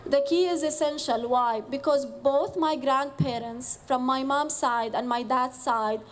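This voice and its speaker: A woman's voice: high-pitched, unhurried. A young woman in her twenties from Jordan, a student giving an earnest personal speech at an outdoor rally. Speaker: student speaker.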